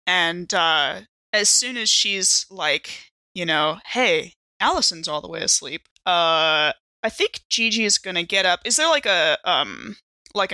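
A woman's voice: medium pitch, bright, forceful tone, dry recording. A woman talking casually as a player in a tabletop role-playing game, informally thinking aloud. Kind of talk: casual, conversational game play on a podcast.

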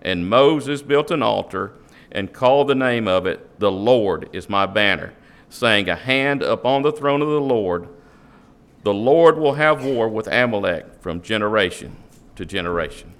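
A man reading a Bible passage aloud to a church congregation, steady and measured. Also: fairly low pitch. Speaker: scripture reader.

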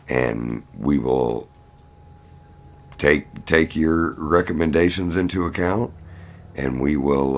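A man with an extremely low, creaky voice talks like a casual podcast host. He rambles along slowly and dryly, swearing freely. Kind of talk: casual solo podcast chat, voicing absent co-hosts.

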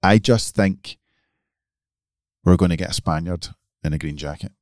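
A man's voice: low voice, normal speed, darkish tone, no room sound, studio quality. A man co-hosting a podcast, giving emphatic, opinionated golf picks in casual chat. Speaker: podcast co-host.

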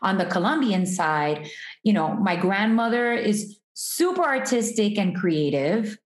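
A woman's voice: medium pitch, very animated, a little slow. A woman from Miami talks unhurriedly as a guest, laughing easily and circling back with ums and restarts. Her voice sits mid-range and sounds roomy, with noticeable echo around it. The talk is casual and personal. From Miami, USA. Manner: chatty, laughs often, many ums and restarts. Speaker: guest, artist and entrepreneur. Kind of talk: casual interview conversation.

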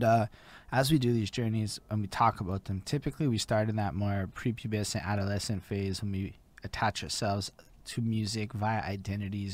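Deep-voiced rambling man hosting. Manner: rambling, loose, filler-heavy. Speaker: podcast host. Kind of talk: casual interview chat.

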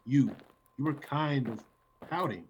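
An actor playing a forward, blunt suitor in a classic radio play, theatrical and sometimes stammering. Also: male voice, medium pitch, measured pace, a little hiss or hum, close to an everyday voice, rough recording. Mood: courting. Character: brusque, forward male suitor courting a young woman. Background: music behind the voice.

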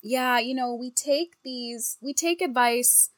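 A woman's voice: sits high, takes it slowly, dry recording. A woman in her forties hosting a podcast interview, encouraging and offering short affirmations. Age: 40s. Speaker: podcast host. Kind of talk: casual interview.

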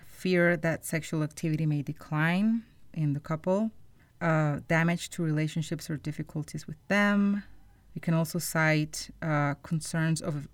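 Woman podcaster, pretty deep voice, chatty explainer style, no room echo at all. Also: a little mellow. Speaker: podcast host. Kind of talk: conversational explainer to listeners.

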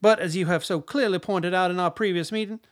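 An actor playing a stern, contemptuous older defense secretary in a radio drama, formal, clipped and condescending. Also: male voice, high-pitched, steady tone, a little fast, lightly acted. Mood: angry, cutting.

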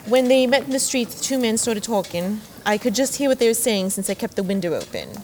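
An actress in an audio drama playing a polite housemaid, wordy, deferential and earnest. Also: female voice, on the high side, lively intonation, a little fast, a little mellow, a little hiss or hum, natural voice, poor audio. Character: polite, chatty housemaid, shaken witness.

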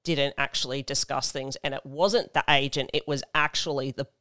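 A woman's voice: extremely low pitch, fairly even intonation, moderate pace, fairly bright tone, no room sound, studio quality. A woman co-hosting a podcast, chatting casually and steering the talk with short agreements. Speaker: podcast co-host. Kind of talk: casual chat.

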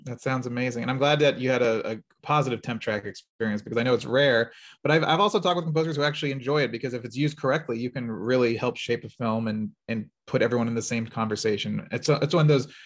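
A man's voice: mid-range voice, quick pace. A man moderating a panel discussion, asking questions in an eager, upbeat way.

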